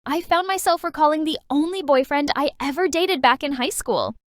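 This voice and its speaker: A woman's voice: high-pitched, expressive, normal speed, no room sound, studio quality. A woman playing a cheerful late-night radio DJ who reads listeners' letters expressively in a manga dub. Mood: wistful reading. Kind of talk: radio presenting, anime-style dub.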